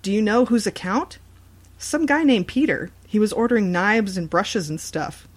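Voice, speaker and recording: A woman's voice: medium pitch, moderate pace, natural voice. A woman voicing an anxious young tenant in a scripted audio horror story. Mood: alarmed, questioning.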